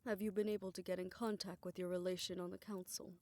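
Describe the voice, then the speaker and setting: Casting: actress for a tired, loving older woman, lowish voice, soft intimate delivery, thoughtful pacing, for romantic audio roleplay. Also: little pitch movement, fast, natural voice. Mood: somber, sympathetic. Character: weary, affectionate older woman confiding in her partner.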